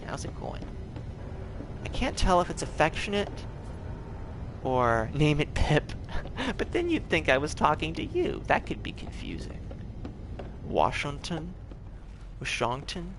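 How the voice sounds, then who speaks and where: A man's voice: fairly high pitch, noisy background. A man casually commenting on his game for a live stream, rambling and tripping over his words as he plays at home. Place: home, gaming on a TV.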